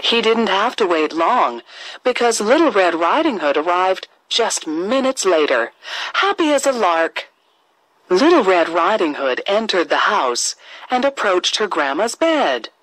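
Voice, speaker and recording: A woman tells a children's fairy tale in a very lively, melodic range. Her pace is slow and deliberate, with expressive phrasing, and the recording is dry and close. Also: medium pitch, dry recording, rough recording. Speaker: storyteller.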